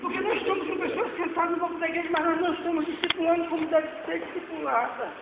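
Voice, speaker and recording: A man in his forties with a Brazilian Portuguese accent, preaching a sermon that swings between loud exhortation and quiet asides. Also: very high voice, steady tone, fast talker. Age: 40s. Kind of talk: sermon with slides. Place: church hall. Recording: echoey hall, dull lo-fi sound, faint noise.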